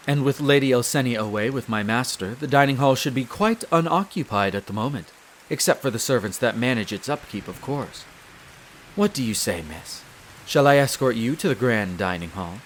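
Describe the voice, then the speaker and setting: A man's voice: mid-range voice, slight background noise, natural voice. A man playing a courteous, devoted head servant of a vampire castle in a roleplay audio drama, speaking with formal, polite diction. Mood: earnest, reassuring. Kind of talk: scripted roleplay audio drama, addressing the listener.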